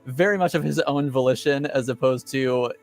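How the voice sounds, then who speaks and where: A man narrating a tabletop roleplaying game as game master, in a halting, deliberate, wordy way. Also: mid-range voice, no room sound, faint music behind.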